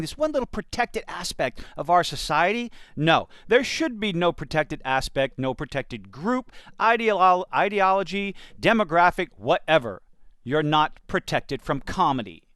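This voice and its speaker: A man's voice: high-pitched, sing-song, moderate pace, a bit bright, no room sound, clean studio sound. A man giving opinionated commentary in a blunt, sardonic and emphatic manner. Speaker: commentator reacting to entertainment news. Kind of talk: opinionated commentary with quotes read out.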